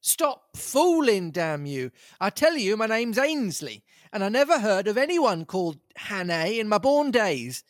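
A hard-up tramp whines and wheedles in a pitch well above ordinary speech, pleading one moment and grumbling the next, as a man puts him on for a read-aloud story. The sound is dry and close, with no room around it. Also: animated, moderate pace, no room sound. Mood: aggrieved. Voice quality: beggar's whine. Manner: wheedling, then blustering. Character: down-and-out male tramp, whining beggar.

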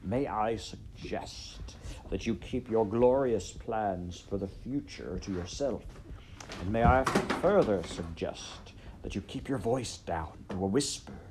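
A man voicing a sly, scheming rat in a storybook, suave, condescending and elaborately polite in a hushed, pompous way. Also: lowish voice, animated, takes it slowly, a little hiss or hum. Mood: hushed, exasperated. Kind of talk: storybook character voice in audiobook narration.

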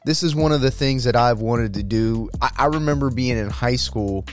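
A man's voice: mid-range voice, normal speed, soft background music. A man in his twenties hosting a podcast, chatting casually and jokingly, with lots of fillers and restarts. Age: 20s. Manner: rambling, jokey, with many fillers and restarts. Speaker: podcast host.

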